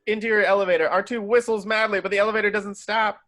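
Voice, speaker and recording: Male narrator, slightly high voice, dry close sound, reading scene directions from a script. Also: level tone, quick pace, fairly bright tone, no room sound.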